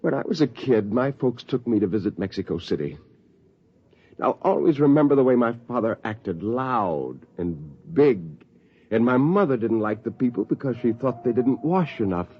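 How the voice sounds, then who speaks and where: An actor playing a bitter, haunted rebel astronaut with brooding, defiant intensity in 1950s radio drama style. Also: male voice, medium pitch, animated, moderate pace, breathy edge. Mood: defiant, intense. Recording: dull, hissy 1950s radio recording.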